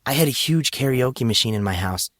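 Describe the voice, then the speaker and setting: A man voicing an earnest, embarrassed teenage schoolgirl in audiobook dialogue, sometimes halting. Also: medium pitch, animated, a bit bright, no echo, studio quality, pitched above the performer's own voice, natural voice. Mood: wistful, embarrassed. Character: remorseful, embarrassed teenage schoolgirl who loves singing.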